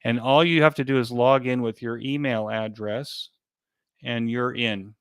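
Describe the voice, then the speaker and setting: A man being interviewed as an event organizer, giving an informal step-by-step explanation in a halting, repetitive way. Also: mid-range voice, fairly even intonation, smooth, no room sound, clean studio sound.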